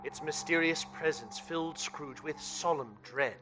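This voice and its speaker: A man's voice: a little high, a little slow, background noise, natural voice. A man playing a storyteller, narrating a Victorian ghost tale in a dramatic, literary radio-play style. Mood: solemn, suspenseful.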